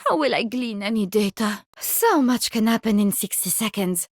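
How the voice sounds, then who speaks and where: A woman's voice: medium pitch, animated, normal speed, no echo, clean studio sound, close to an everyday voice. An actress playing a playful, morbid woman doctor in a video game, theatrical and gleefully clinical. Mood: wry. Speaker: game character voice.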